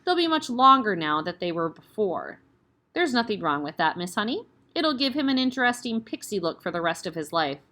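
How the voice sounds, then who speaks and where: A woman's voice: medium pitch, sing-song, a little fast, sharp tone. A woman voicing a huge, bullying headmistress who barks and snaps with contempt. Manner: barking, snapping, contemptuous.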